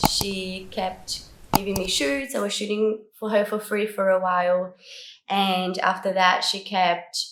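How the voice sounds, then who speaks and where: A woman's voice: medium pitch, a bit bright. An echoey room carries the voice of a woman in her twenties. She has an Australian accent, though she is from Brazil and grew up speaking Portuguese. She talks in an upbeat, chatty way, like a vlogger answering questions for her followers. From Brazil, moved to Australia at twelve. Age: 20s. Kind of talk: casual Q&A chat to camera.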